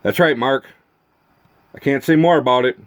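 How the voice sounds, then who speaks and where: A man's voice: medium pitch, fairly even intonation, normal speed. A man ranting accusingly at someone who is not there, exasperated, swearing and repeating himself in rhetorical questions. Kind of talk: accusatory rant to camera addressing an absent person.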